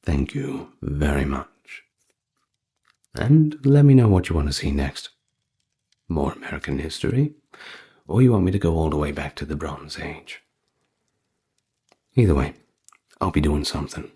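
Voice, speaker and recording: A man narrating history in a soft-spoken ASMR style, with informal asides as the host. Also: extremely low pitch, big pitch swings, measured pace, long pauses, husky.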